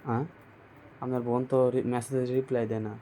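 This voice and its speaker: A man's voice: medium pitch, flat delivery, a little fast, dark tone, a little hiss or hum, close to an everyday voice. A young man playing a pestering, pleading younger brother-in-law in an amateur skit, with a rural Bangladeshi Bengali accent. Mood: coaxing, insistent. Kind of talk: amateur skit dialogue, colloquial.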